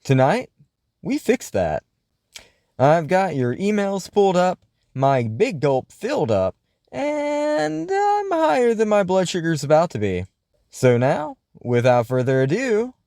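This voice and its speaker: A man's voice: a little high, darkish tone, no echo, studio quality, heavily acted. A man playing a flamboyant late-night paranormal radio host, telling stories in a grandiose, wordy showman style. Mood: grand, playful. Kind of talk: scripted comedic radio monologue and storytelling.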